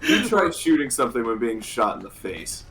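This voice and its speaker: A man's voice: mid-range voice, big pitch swings, normal speed, a bit bright. A man playing a co-op game with a friend, chatting casually and bickering in a dry, matter-of-fact way. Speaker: co-op gaming partner. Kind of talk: casual co-op gameplay chat.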